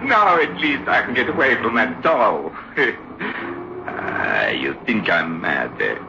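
An actor playing a tormented, paranoid Italian ventriloquist with an Italian accent and melodramatic broken English, in old-time radio drama style. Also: male voice, fairly low pitch, very animated, takes it slowly, vocal fry, noisy background, heavily acted. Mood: uneasy cheer. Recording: dull, lo-fi old radio recording.

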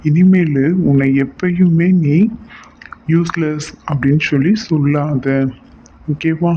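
A man's voice: fairly high pitch, steady tone, slow pace. A man playing a kind household master who speaks reassuringly and patiently in a children's cartoon story. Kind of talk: children's moral story acting. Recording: dull lo-fi sound, faint noise.

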